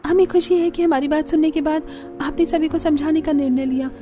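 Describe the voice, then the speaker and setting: A woman playing an earnest, expressive village daughter-in-law in a cartoon story. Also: high voice, a little fast, over music, pitched above the performer's own voice, close to an everyday voice. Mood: grateful, relieved. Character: village daughters-in-law, fed up yet grateful women.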